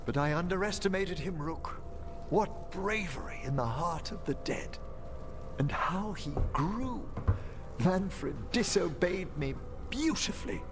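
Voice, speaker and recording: A man's voice: high-pitched, expressive, measured pace. An actor playing a dignified older male necromancer in a video game, formal, eloquent and tender. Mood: grieving, solemn. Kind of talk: theatrical video game acting. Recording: music behind the voice.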